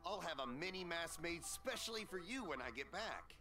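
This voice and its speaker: Lively, hearty festival stall keeper. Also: male voice, fairly high pitch, moderate pace. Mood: apologetic, kindly. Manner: hearty, folksy, chuckles between phrases. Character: friendly, generous middle-aged festival stall keeper, chatty man. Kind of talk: video game dialogue acting. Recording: game music behind the voice.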